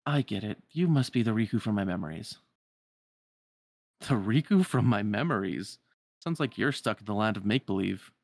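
Someone doing a mocking teen rival boy from a game, medium pitch, sarcastic, dry close sound. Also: animated, normal speed, no room sound. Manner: sarcastic, casual. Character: cool, dismissive, mocking teenage boy rival.

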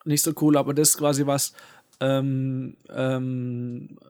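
A young man co-hosting a casual podcast, talking in a halting way with many fillers and restarts. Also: medium pitch, flat delivery, a little mellow, clean tone, no room sound, clean studio sound. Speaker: podcast co-host. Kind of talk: casual conversation. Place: living in a city abroad.

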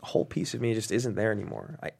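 A man acts out a wounded, self-doubting man in a screenplay drama. His voice sits a little low with a gravelly fry, and he speaks in a halting, understated way. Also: lively intonation, a little mellow, full character voice. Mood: ashamed. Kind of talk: dramatic table read of a screenplay.

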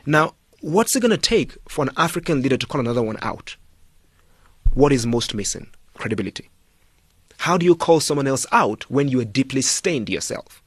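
A man's voice: medium pitch, big pitch swings, a little fast, slightly rough, dry recording. A man speaking as a studio guest on a radio talk show, making his points emphatically with pointed rhetorical questions. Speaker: studio guest, political commentator.

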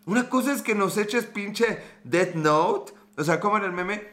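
A man's voice: high voice, animated, unhurried, fairly bright tone. Joking Mexican man on a livestream. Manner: joking, crude slang, laughs often. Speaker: livestream host. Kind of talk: casual livestream chat reading viewer comments.

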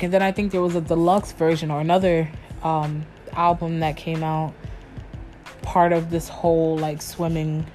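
A woman's voice: on the low side, a little mellow. A woman hosting her own show, talking loosely and casually on her own, with slang and frequent restarts. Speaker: podcast host. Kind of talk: casual solo chat.

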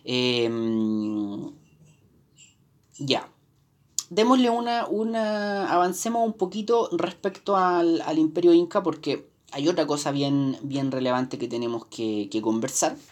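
A woman's voice: deep voice, lively intonation, slow, darkish tone. A woman with a Chilean accent giving a live history lesson, explaining from slides with many fillers and restarts. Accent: Chilean Spanish. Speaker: history teacher. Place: indoors, teaching from slides.

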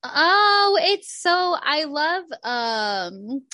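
A young woman co-hosting a casual podcast chat, animated, laughing often and acting out quotes. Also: high voice, extremely slow, dry recording, clean studio sound. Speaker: podcast co-host.

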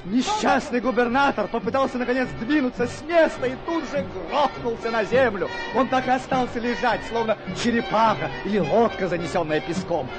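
An actor narrating a classic comic tale in a radio play, with precise, wry, theatrical storytelling. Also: male voice, very high voice, fairly quick, few pauses, over loud music, over a noisy crowd, low-quality recording.

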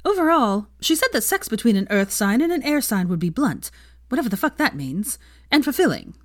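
A woman voicing a brash, excitable young woman who teases and gossips smugly in an audiobook-style story. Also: on the high side, brisk, pitched above the performer's own voice. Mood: excited, chatty. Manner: excitable, smug, foul-mouthed.

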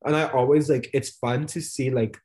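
A young man co-hosting a podcast in a loose, rambling chat, full of fillers and quick laughs. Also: medium pitch, fairly even intonation, a little mellow. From United States. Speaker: podcast co-host. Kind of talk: casual chat.

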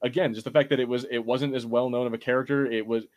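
A man hosting a casual podcast discussion, talking in a conciliatory, halting way as he reasons things through. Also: mid-range voice, fairly even intonation, a little mellow. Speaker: podcast host.

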